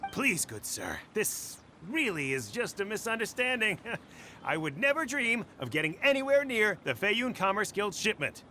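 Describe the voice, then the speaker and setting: High-pitched, wheedling cowardly bandit. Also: male voice, sing-song, normal speed, bright, forceful tone, a little hiss or hum, exaggerated voice. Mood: pleading. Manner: flustered, fawning, stammering. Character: cowardly, wheedling bandit pleading his innocence. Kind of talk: video game voice acting.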